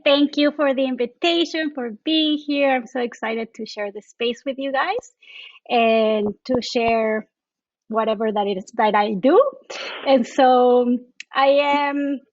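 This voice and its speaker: A woman's voice: high-pitched, no room sound, lo-fi sound. A woman with a Mexican accent, a guest on an interview podcast, talking enthusiastically and effusively about her work. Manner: effusive, enthusiastic, repeats "I love". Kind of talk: conversational interview.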